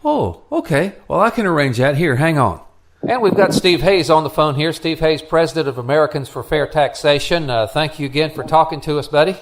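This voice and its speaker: A man's voice: a little high, expressive, a little fast. A man co-hosting a radio show in a casual, chatty style.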